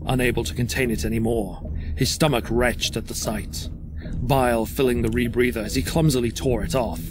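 A man's voice: mid-range voice, fairly even intonation, normal speed. A man giving scripted narration for a horror audio drama. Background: music.

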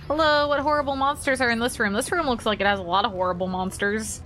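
A woman's voice: a little high, fast talker, a bit bright, soft background music. A young woman streaming a game, chatting playfully with her chat as she plays. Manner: playful, chatty, talks to chat while playing. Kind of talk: casual gameplay commentary to chat.

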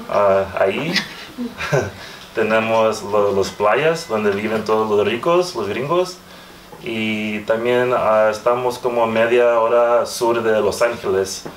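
A man in his thirties with an American accent, a native English speaker, giving an informal panel talk and haltingly searching for words. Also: lowish voice. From Southern California, United States. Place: small room with hard walls, indoors. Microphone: far camera microphone. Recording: distant mic, echoey room, faint noise.

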